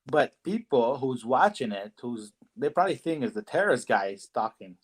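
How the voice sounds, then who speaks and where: A man who works in casting talks loosely in an interview, stumbling and restarting, padding his thoughts with fillers and quick laughs. His voice sits in an ordinary middle range. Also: medium pitch, sing-song, husky. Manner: rambling, halting, full of fillers and laughs. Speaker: casting director and actor. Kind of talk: casual interview conversation.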